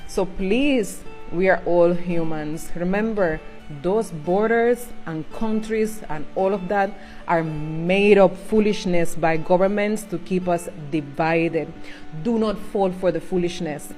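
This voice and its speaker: A woman's voice: lowish voice, slow pace, darkish tone, soft background music. A middle-aged woman from Cuba, Spanish by birth, speaking earnest Jamaican English with a Spanish touch and slipping into patois as she pleads with viewers. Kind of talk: personal appeal to viewers.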